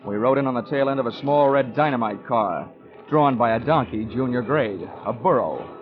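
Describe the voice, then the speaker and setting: An actor playing a wisecracking, world-weary insurance investigator in a 1940s radio drama, speaking in a deadpan way. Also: male voice, medium pitch, normal speed, lightly acted. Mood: wry narration. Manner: wry, deadpan. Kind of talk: 1940s radio acting, first-person narration. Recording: dull old radio recording, music behind.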